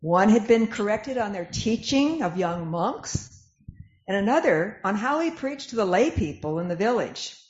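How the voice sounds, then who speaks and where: A woman's voice: medium pitch, expressive, normal speed, darkish tone. A woman narrating a dramatic reading of scripture from a script. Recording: echoey room, dull lo-fi sound.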